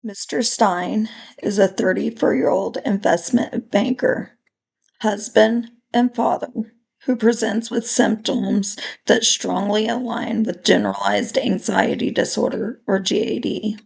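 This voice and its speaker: A woman with a raspy voice from a recent illness, slowly reading through a clinical case study presentation with careful small restarts. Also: medium pitch, fairly even intonation, darkish tone.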